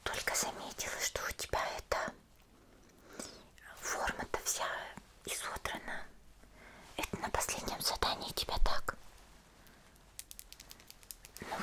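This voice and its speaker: A woman playing a cheerful, caring young female demon slayer in a soft, chatty whispered ASMR roleplay. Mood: concerned. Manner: gentle, chatty, hesitant little murmurs.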